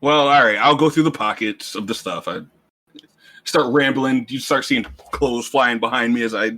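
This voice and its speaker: A man's voice: medium pitch, normal speed, bright, forceful tone. A man playing in a tabletop game, talking in a casual, joking way.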